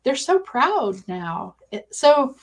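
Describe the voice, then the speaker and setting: Female researcher as interview guest, higher-pitched voice, conversational, thinks aloud with halting phrases. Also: sing-song. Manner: thoughtful, halting delivery.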